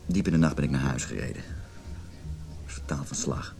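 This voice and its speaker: A man's voice: rumbling low, moderate pace, gravelly, over music. An actor playing an evasive, conflicted married man placating his lover, defensive and emotional, in a Dutch radio play. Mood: shaken, pleading.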